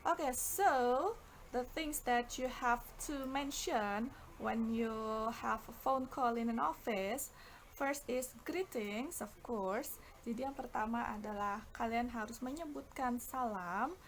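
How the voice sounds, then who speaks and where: A woman's voice: a little high, slow pace. A woman with an Indonesian accent explaining a bilingual lesson as an English teacher, often stretching out her words. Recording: clear background noise.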